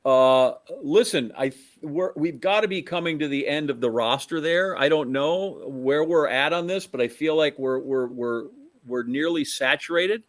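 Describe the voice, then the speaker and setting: A man's voice: on the high side, no room sound. Rambling middle-aged man, dryly recorded. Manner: rambling, halting phrasing with repeated words. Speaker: podcast co-host and TV sportscaster. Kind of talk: casual opinionated sports chat.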